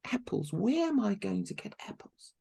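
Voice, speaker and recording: Brief: timid put-upon girl, voiced by a man, very high and lively, pleading, slightly husky. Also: normal speed, exaggerated voice. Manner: pleading, bewildered.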